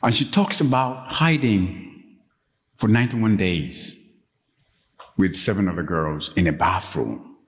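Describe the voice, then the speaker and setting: Man from Uganda, preaching, storytelling sermon, lowish voice, echoing church. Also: measured pace, long pauses. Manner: storytelling preacher, rising to high, emphatic peaks when stirred. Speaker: pastor. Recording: long reverb of a church.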